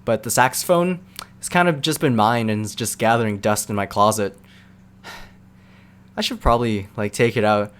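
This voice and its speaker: A young man answering viewers' questions in a relaxed, rambling live-stream chat, full of fillers and quick laughs. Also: on the low side, clear, resonant tone.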